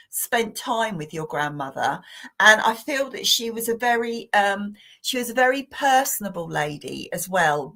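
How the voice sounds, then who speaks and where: Soft-spoken woman giving psychic readings. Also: medium pitch. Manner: hesitant and repetitive, many fillers and restarts. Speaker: medium giving live readings. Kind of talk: live-stream psychic reading.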